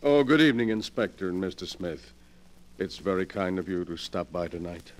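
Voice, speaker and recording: An actor playing a sly, morbid invalid gentleman who gloats with effusive politeness, in 1940s radio mystery style. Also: sits low, lively intonation, moderate pace, slight background noise. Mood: unctuous, pleased. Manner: effusively polite, theatrical, relishing his words. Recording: vintage 1940s radio broadcast.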